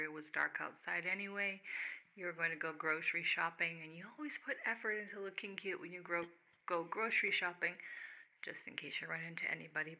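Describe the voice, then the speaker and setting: A woman giving a soft, reflective ASMR monologue addressed to the listener, with pauses. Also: on the low side, rough recording. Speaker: ASMR host speaking as the listener's higher self.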